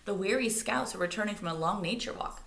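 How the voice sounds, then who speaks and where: Creaky-voiced woman reading a children's story. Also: medium pitch, fast, a bit bright. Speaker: read-aloud storyteller. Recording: roomy, echoey indoor sound.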